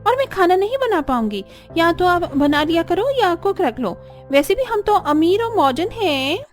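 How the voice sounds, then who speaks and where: A woman voicing a spoiled, defiant young daughter-in-law in a cartoon story, whiny and quick to talk back. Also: extremely high pitch, big pitch swings, soft background music, pitched above the performer's own voice. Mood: sulky, defiant. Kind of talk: Hindi cartoon story voice acting.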